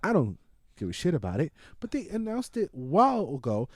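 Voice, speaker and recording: A man bantering casually about films as a podcast host, blunt, excitable and foul-mouthed, repeating himself for emphasis. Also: fairly high pitch, fairly quick, no room sound, studio quality. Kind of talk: casual film review banter.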